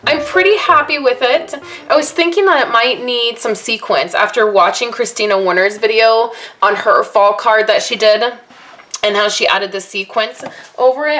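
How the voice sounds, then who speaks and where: A woman with a slightly hoarse voice, chatting casually as she demonstrates card making at her craft table, rambling and apologetic at times. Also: on the high side, moderate pace, a bit bright. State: voice a little hoarse from allergies and little sleep. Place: home craft workspace, indoors.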